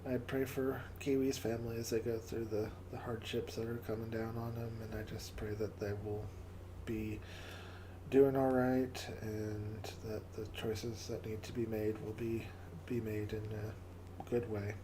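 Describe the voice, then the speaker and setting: A man with a raspy, hoarse voice hosting a casual live stream and closing with a prayer, often stumbling and repeating words. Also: lowish voice, level tone, measured pace, noisy background. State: hoarse from coughing. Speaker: livestream host.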